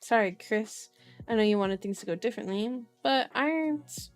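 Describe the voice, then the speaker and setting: Composed male captain read by a woman, storybook, detached, polite, high-pitched, music behind. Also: lively intonation, normal speed, natural voice. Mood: cool, apologetic. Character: composed, cool male special police captain.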